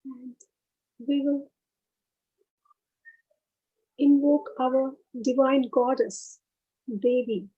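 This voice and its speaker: A woman leading a guided meditation, speaking in short, unhurried phrases with pauses between them. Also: high voice, steady tone, dull audio.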